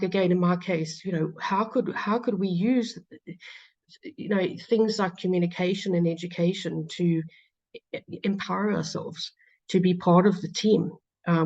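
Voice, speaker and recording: A woman's voice: lowish voice, darkish tone. South African woman with a dull lo-fi sound. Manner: hesitant, many fillers and restarts. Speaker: patient advocate speaker. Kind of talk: conference talk. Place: lecture hall.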